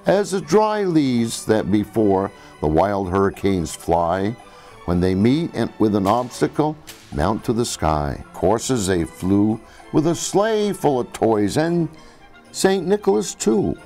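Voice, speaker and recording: An older man, a grandfather, reading a storybook to children in a theatrical, expressive way. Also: lowish voice, takes it slowly, faint music behind.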